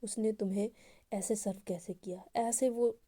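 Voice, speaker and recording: Woman doing jealous young woman in a rage, loud, higher, dark mellow tone, storytelling voice. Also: fairly even intonation, natural voice. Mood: furious. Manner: heated, accusing, rapid outbursts.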